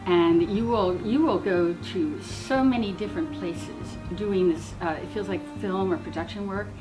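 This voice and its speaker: A woman speaks as a psychic reader, offering affirming guidance in conversation. Her pitch is average for a woman, and music plays clearly behind her voice. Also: moderate pace, low-quality recording. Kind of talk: giving a spoken psychic reading.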